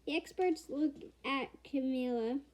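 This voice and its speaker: A woman reading a children's picture book aloud, expressive and emphatic, sometimes halting over words. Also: sits high. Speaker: storyteller.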